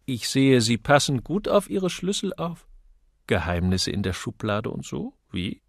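A man voicing a gallant, courteous gentleman in an audiobook, casually inquisitive in manner. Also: mid-range voice, big pitch swings, a little slow, gravelly, dry recording, clean studio sound, exaggerated voice. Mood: gallant, probing.

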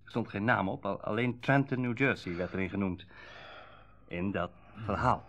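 Low-voiced, musing middle-aged car dealer. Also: male voice, normal speed, a bit bright, a little hiss or hum. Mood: confiding. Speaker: actor in a radio drama. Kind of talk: Dutch radio play acting, naturalistic dialogue.

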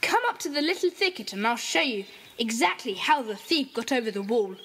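A woman's voice: fairly high pitch, sing-song, a bit bright, husky, exaggerated voice. A woman voicing Peter, a bold, decisive boy leading his friends in an audio drama, on noisy tape. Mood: eager. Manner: brisk, decisive, eager.

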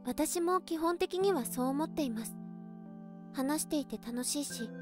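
A woman playing a polite, earnest teenage high school girl in an amateur audio drama, speaking in courteous, formal phrasing. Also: animated, a little slow, over music. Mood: wistful, earnest.

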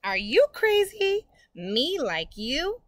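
Woman playing a bossy, animated storybook kid. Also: high voice, slow pace, no echo, studio quality, voice pushed up, exaggerated voice. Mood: scornful. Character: big boastful boy, teasing and bossy. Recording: outdoor ambient noise.

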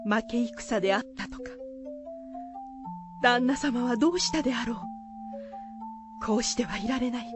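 A man's voice: very high-pitched, moderate pace, long pauses, music in the background, voice pushed up. A man voicing an anxious, devoted samurai wife in a period radio drama, with earnest archaic speech. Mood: worried.

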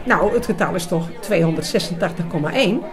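A woman playing a sharp-minded, inquisitive companion in a radio drama, questioning and precise. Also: lowish voice, fast, natural voice. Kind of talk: Dutch radio drama dialogue. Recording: busy chatter behind the voice. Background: busy restaurant crowd.

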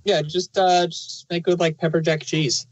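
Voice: medium pitch, flat delivery, moderate pace, low-quality recording. A podcast reviewer chatting casually and puzzling things out aloud. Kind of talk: casual chat reviewing an audio drama.

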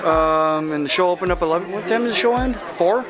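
A man's voice: high-pitched, fast talker, over music. A man with an Upper Midwest American accent chatting casually and laughing often while walking around a crowded expo hall, talking into a handheld camera. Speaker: vlogger at a reptile expo. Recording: loud crowd noise in hall.

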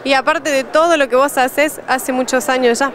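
Argentine woman, young, tv reporter, high voice, faint crowd and noise behind. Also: steady tone. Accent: Argentine Spanish. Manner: brisk questions and short friendly replies. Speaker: local TV reporter. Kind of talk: on-the-spot TV interview. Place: indoor expo hall. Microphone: handheld reporter mic.

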